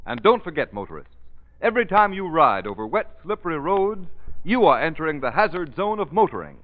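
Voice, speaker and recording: A man announces in the emphatic, formal manner of 1930s radio. His fairly high voice rises and falls a great deal as he sells and narrates. The old broadcast recording sounds thin and tinny.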